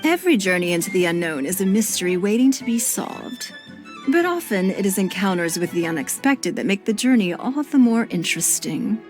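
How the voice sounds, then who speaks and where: A woman voicing a polite, composed adult woman who speaks formally and in measured phrases. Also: mid-range voice, expressive, close to an everyday voice. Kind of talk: game cutscene voice acting. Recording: game audio with faint music.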